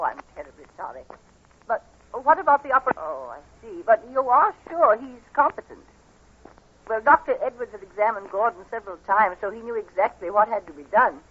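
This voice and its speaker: High, swooping, concerned radio-drama aunt. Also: female voice, slightly rough. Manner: earnest, melodramatic, firm. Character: Aunt Sally, concerned, firm guardian aunt of blind nephew. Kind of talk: 1940s radio acting. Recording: dull, noisy 1940s radio recording.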